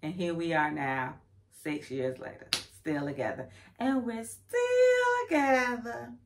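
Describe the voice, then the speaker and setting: A woman's voice: mid-range voice, lively intonation, slow, slight background noise. A woman, chatting casually to camera about her life, slangy and self-correcting. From Chicago, South Side. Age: 40s. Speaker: vlogger. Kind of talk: casual get-to-know-me chat.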